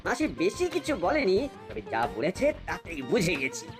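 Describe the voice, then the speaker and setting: A woman's voice: fairly low pitch, wildly swooping pitch, moderate pace. A woman voicing a smug, teasing youngster in a cartoon. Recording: loud background music.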